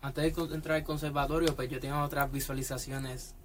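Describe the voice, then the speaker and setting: A man's voice: medium pitch, little pitch movement, fairly quick, fairly bright tone, slight background noise. A young man from Puerto Rico, a trumpet student talking casually in an interview with many fillers.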